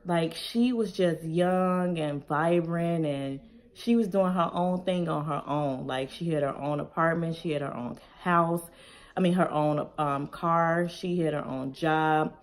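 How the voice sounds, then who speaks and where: Low-voiced woman chatting casually. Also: unhurried. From Chicago, Illinois. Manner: relaxed, conversational, reads each question aloud then answers. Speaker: vlogger. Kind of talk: casual Q&A tag video.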